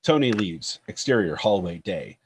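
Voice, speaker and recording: A man's voice: lowish voice, very animated, normal speed, a little hiss or hum. A man reading scripted stage directions as narrator of a screenplay table read.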